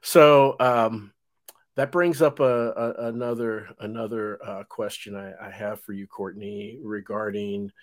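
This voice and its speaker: A man's voice: fairly low pitch, fairly even intonation, a little slow. A man in his fifties hosting an interview podcast, talking in a rambling way with many fillers and restarts. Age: 50s. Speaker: podcast host. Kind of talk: casual interview chat.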